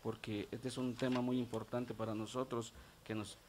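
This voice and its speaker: A man's voice: mid-range voice, flat delivery, moderate pace, darkish tone, slight background noise. Masked man reading a formal address. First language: Ch'ol. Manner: formal, reads from prepared notes. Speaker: government secretary appearing before lawmakers. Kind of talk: formal address to a legislative committee. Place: legislative meeting room. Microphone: table gooseneck mic, face mask on.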